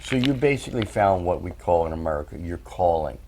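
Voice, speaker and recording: A man's voice: low voice, animated, brisk. A man in his sixties hosting a casual interview, joking playfully as he asks questions. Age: 60s. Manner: jokey, playful. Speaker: host.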